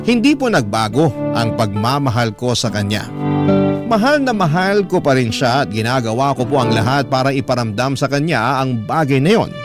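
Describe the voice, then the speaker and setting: A man's voice: mid-range voice, close to an everyday voice. Man as an earnest Filipino brother narrating over guitar. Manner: earnest, heartfelt, respectful. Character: earnest, caring older brother telling his life story. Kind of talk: first-person dramatic narration of a letter. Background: prominent guitar music.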